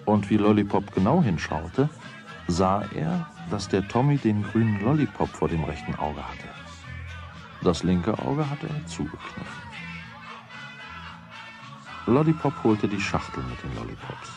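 A middle-aged man narrating a children's radio play as a calm storyteller. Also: lowish voice, measured pace, long pauses, music in the background. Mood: calm narration.

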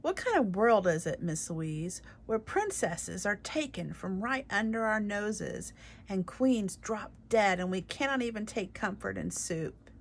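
A woman reading a storybook aloud, voicing a fierce castle cook who is loud and distraught with grief. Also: medium pitch, measured pace, creaky, a little hiss or hum. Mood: wailing.

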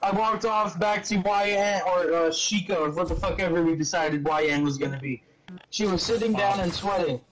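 A man doing a mocking dramatic reading of fan fiction, halting and stumbling over words, with casual sweary asides. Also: sits high, rough recording.

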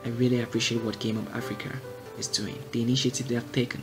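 A young man from Cameroon, a software engineering graduate, giving an earnest and articulate testimonial. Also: mid-range voice, level tone, fast talker, music in the background. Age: young adult. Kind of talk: prepared testimonial.

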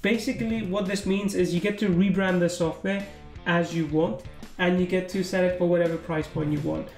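A man casually walking through a software sales funnel on a screen recording, thinking aloud as he clicks. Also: sits high, fairly even intonation, normal speed, gravelly, roomy sound, soft background music. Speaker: software reviewer. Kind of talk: screen-recorded product walkthrough.

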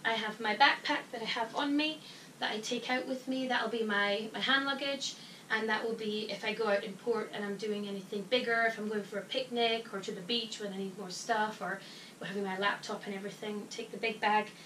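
A woman's voice: mid-range voice, fairly even intonation, a little fast, a bit bright, slight background noise. A woman in her thirties giving casual packing advice in a small bedroom, chatty with frequent ums, recorded by a camera across the room. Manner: chatty, frequent "um" fillers, explains while packing. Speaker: vlogger giving cruise packing tips. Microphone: fixed camera from above across the room. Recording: echoey room, voice from a distance.

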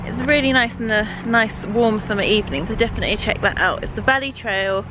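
A woman's voice: fairly high pitch, fast talker, noisy background. A young woman in her twenties chatting casually to a handheld camera, giggling often. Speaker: travel vlogger. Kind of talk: casual travel vlog. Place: outdoors on a trail and in a cafe. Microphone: handheld camera at arm's length.

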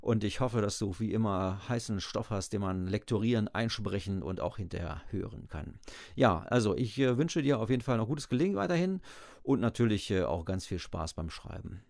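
A man's voice: on the low side, expressive, fairly quick, vocal fry, darkish tone, dry recording, studio quality. A man speaking a casual congratulatory message directly to a friend.

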